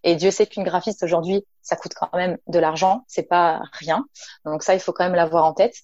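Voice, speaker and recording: A woman from the south of France talks casually in an interview, full of fillers and friendly asides. Her voice sits on the low side. The recording is dry and somewhat muffled. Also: steady tone, normal speed, a little mellow, dry recording. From Nice, south of France. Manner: chatty, many fillers, addresses listener informally. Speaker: naturopath and entrepreneur, guest.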